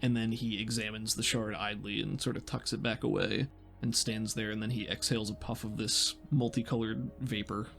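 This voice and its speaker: Creaky-voiced man narrating a tabletop game. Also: on the low side, steady tone, normal speed, fairly bright tone, faint music behind. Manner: descriptive, conversational, thinking aloud. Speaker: game master.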